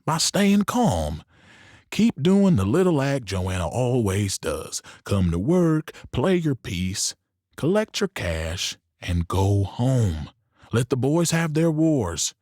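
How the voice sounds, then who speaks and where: A man playing a shrewd, protective Old West saloon owner in an audio drama, measured and knowing. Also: medium pitch, big pitch swings, darkish tone, dry recording, clean studio sound. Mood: protective warning.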